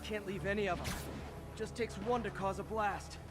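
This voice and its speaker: An actor playing a young male superhero who talks in quick, self-assured quips, heard as game audio with music behind. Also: high-pitched, noisy background, close to an everyday voice. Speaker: game character. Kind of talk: video game voice acting.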